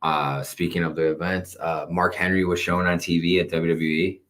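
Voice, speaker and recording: Brief: thirties male podcast host, very low voice, rambling, self-mocking chat. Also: flat delivery, moderate pace, fairly bright tone. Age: 30s. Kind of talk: casual wrestling podcast chat.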